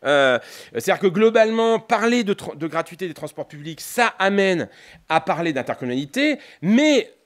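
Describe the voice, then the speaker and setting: Animated high-pitched Frenchman lecturing, halting and rephrasing. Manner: hesitant, repeatedly breaking off and rephrasing, punctuated with rhetorical tags; analytical, explanatory, didactic. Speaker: political scientist, university lecturer. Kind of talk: semi-improvised conference lecture.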